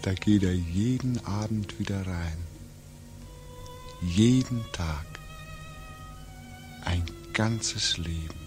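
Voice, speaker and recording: Homesick soldier back from war, lowish voice, really slow, anguished old radio drama w music. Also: male voice. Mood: hushed, hopeful. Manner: halting, repetitive, swinging into agitated questioning. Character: homesick, anguished young war returnee, desperate and brooding. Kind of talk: 1940s German radio drama acting. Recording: 1940s radio recording, music behind.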